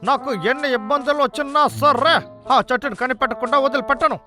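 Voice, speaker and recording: Boastful, excitable cartoon logger; high-pitched, sharp, talks to himself, music behind. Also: male voice, quick pace. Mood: gloating, eager. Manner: exaggerated, talks to himself, chuckling. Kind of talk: cartoon dub acting.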